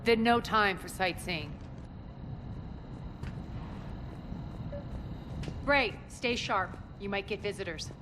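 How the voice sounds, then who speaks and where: An actress playing a ruthless, commanding crime boss in a video game, giving clipped, imperious orders. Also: female voice, medium pitch, moderate pace, natural voice. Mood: cool, confident. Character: commanding, ruthless female crime boss leading her own soldiers. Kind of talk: video game cutscene acting. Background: music.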